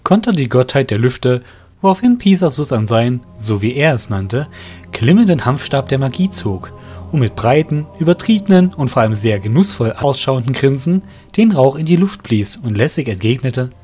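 A man narrating an audio drama in an expressive storytelling way, over music. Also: medium pitch, moderate pace. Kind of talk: scripted narration.

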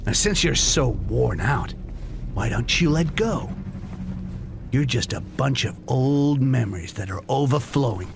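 A man playing a cynical, defiant goblin assassin in a video game cutscene, with a gravelly voice and a dry, insolent delivery. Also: background noise. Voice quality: gravelly, low. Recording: loud music behind the voice.

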